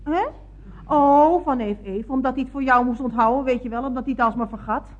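An actress playing a nosy, down-to-earth office colleague who questions everything in colloquial speech, in an old radio comedy. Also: female voice, sits high, brisk, darkish tone, close to an everyday voice. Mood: matter-of-fact. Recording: dull vintage radio recording.

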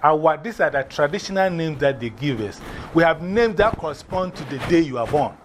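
Ghanaian male teacher, animated classroom delivery, high pitch, faint classroom ambience. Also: a little fast, a little hiss or hum. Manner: lively, repeats and spells out words, laughs often.

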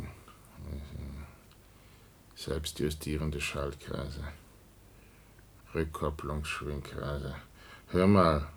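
Very deep, gravelly wry uncle. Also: male voice, a little hiss or hum, exaggerated voice. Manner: dry, unhurried irony. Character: wry older uncle, a writer, indulgent and skeptical. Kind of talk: German science fiction radio play acting.

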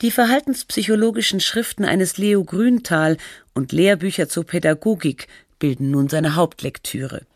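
A documentary narrator reading a scripted feature in a measured, matter-of-fact, scholarly way. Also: mid-range voice, no echo, studio quality, natural voice.

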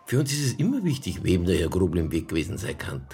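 An actor playing a dogged, sardonic police detective in a radio drama, with a Bavarian accent and a gravelly voice. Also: male voice, deep voice, sing-song, fast, a little mellow, exaggerated voice. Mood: probing. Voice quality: gravelly, husky. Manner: dry, needling, insistent. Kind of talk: naturalistic radio drama dialogue.

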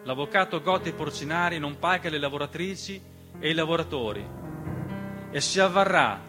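A man's voice: on the high side, normal speed. A man delivering an emphatic, dramatic theatrical monologue as narrator, with rhetorical questions and refrains. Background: piano accompaniment.

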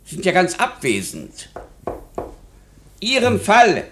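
An actor playing an ailing older lawyer in a German radio play, formal, long-winded and imperious. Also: male voice, sits high, wildly swooping pitch, slow, a bit bright, a little hiss or hum, full character voice. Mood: self-important, formal.

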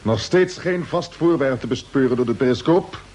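An actor playing a resolute space fleet commander in a radio drama, giving orders in an authoritative, matter-of-fact way. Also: male voice, medium pitch, expressive, moderate pace, darkish tone, natural voice. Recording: noisy old radio drama recording.

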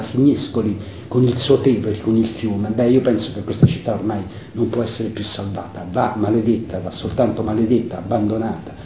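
A man's voice: lowish voice, warm tone, slight background noise. A man giving his opinion in an interview, with emphatic, repetitive, scornful rhetoric. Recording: roomy, echoing interview recording.

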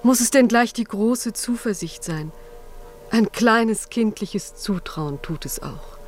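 A woman's voice: medium pitch, lively intonation, takes it slowly, soft background music. An actress playing a devout, determined young mother in a 1950s German radio drama, speaking with earnest, lyrical fervour. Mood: fervent, trusting.

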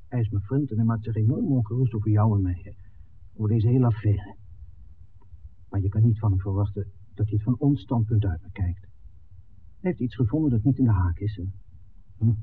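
An actor playing an earnest young documentary film-maker, tender and defiant, in a 1960s Dutch radio drama. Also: male voice, lowish voice, moderate pace, pauses often, lightly acted. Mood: worried, earnest. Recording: narrow-band 1969 radio recording, faint hiss.

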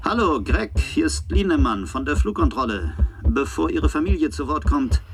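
A man's voice: a little high, expressive, normal speed, gravelly, slight background noise. A male actor playing an apologetic flight-control official in a German radio play, explaining things politely and informally as in a voice message. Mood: friendly, apologetic.

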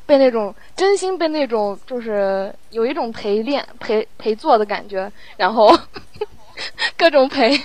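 A woman with a fairly high voice talks as a guest in a casual interview. She is a sound editor and tells things in an animated, rambling storytelling way. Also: measured pace, rough recording. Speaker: audio drama post-production sound editor, guest.

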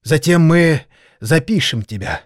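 A man's voice: on the high side, animated, unhurried, dry recording. Man acting an emotional, theatrical maestro, dry sound. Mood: exalted, excited. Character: excitable, sentimental elderly maestro pianist dreaming of grand plans. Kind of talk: audio drama acting.

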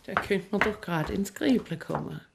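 A doubtful small-town choir chairwoman in a radio play, over clear background noise. Her southwestern German speech is blunt and questioning, with lively swings in pitch. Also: normal speed. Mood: sceptical. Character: sceptical provincial choir chairwoman. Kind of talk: naturalistic radio drama acting.

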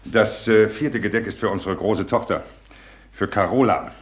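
An actor performs a self-assured husband in a radio play, with a fairly low male voice. He is brisk and used to giving orders, formally hospitable as host. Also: fairly even intonation, lightly acted. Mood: hearty, hospitable. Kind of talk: German radio play acting.

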